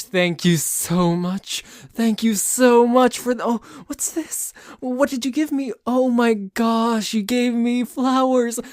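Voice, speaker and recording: A man's voice: high voice, no echo. Man acting a theatrical possessive yandere boyfriend, close dry sound. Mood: gushing, mock surprise. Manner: theatrical, swinging between gushing and soft menace. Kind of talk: intimate roleplay ASMR monologue.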